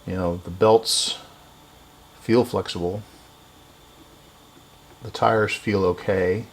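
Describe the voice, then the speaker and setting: A man giving casual, unscripted narration of hands-on repair work, halting and thinking aloud as he goes. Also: low voice, fairly even intonation. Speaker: repair video host.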